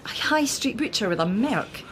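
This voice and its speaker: An actress playing a keen, inquisitive junior police detective in a radio drama. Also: female voice, a little high, very animated, normal speed, fairly bright tone. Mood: matter-of-fact. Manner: inquisitive, matter-of-fact. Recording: faint background noise.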